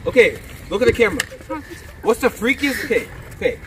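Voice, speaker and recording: A man's voice: sits high, big pitch swings, a little fast, noisy background. A young man hosting a street interview outdoors on school grounds, with a brash, teasing manner. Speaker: host interviewer.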